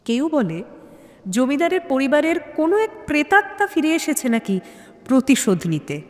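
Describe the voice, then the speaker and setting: Expressive woman narrating mystery, long reverb. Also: high-pitched. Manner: measured, suspenseful storytelling. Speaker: story narrator. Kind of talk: dramatic scripted narration of a detective story.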